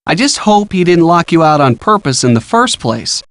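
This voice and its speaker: A man's voice: fairly high pitch, big pitch swings, normal speed, dry recording. An actor playing an easygoing, supportive college-age young man in a video game.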